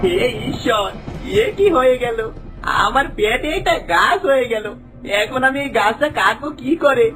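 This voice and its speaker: A woman plays a greedy village husband in a cartoon, pitched high. The delivery is theatrical and swings up and down, full of exclamation. Faint music sits under the voice. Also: lively intonation, moderate pace, natural voice. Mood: panicked. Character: greedy, sly village husband who cheats people. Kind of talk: Bengali cartoon dubbing.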